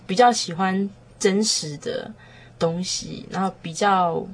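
A woman's voice: lowish voice, takes it slowly, slightly rough. A young woman with a Taiwanese Mandarin accent in casual reflective discussion, tentatively searching for words. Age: young adult. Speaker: guest on a discussion show.

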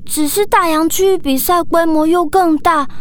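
A woman's voice: extremely high pitch, steady tone, moderate pace. A woman voicing an earnest, eager young dolphin schoolboy in a children's audio drama. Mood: earnest, modest.

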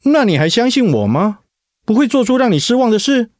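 An actor in a Mandarin radio drama playing a devoted, protective young husband who speaks in a tender, coaxing, sincere way. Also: male voice, extremely high pitch, big pitch swings, a little fast, a little mellow, no echo, clean studio sound. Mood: concerned, probing.

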